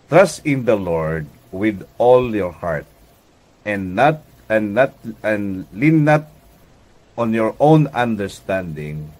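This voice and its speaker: A Filipino man preaching a devotional radio message as pastor and host, deliberate and emphatic, often checking in with listeners. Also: lowish voice. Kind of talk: devotional radio sermon in conversational teaching tone.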